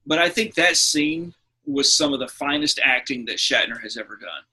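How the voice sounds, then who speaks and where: A man co-hosting a podcast, chatting casually and telling film anecdotes in an animated way, slipping into acted-out quotes. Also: medium pitch, normal speed, husky. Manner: animated storyteller who acts out quotes. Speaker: podcast co-host.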